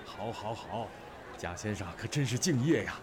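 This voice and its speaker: An actor plays a bossy, fawning male crew chief. His voice is somewhat low and bustling. It sounds set back amid noisy outdoor ambience. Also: lively intonation, normal speed, close to an everyday voice. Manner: obsequious, bustling. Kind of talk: audio drama acting. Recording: distant, over outdoor ambience noise.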